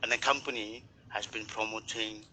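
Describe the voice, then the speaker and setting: Earnest man from Nepal, bright voice. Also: lowish voice, fairly even intonation, normal speed, slight background noise, poor audio. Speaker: network marketer. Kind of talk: casual group chat.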